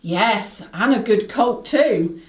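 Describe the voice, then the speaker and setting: Woman acting a high, sing-song chatty country landlady. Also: expressive, slow. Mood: obliging, chatty. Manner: folksy, emphatic, respectful. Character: chatty, deferential country inn landlady, full of warnings. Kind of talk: radio play acting. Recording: roomy, echoing radio play recording.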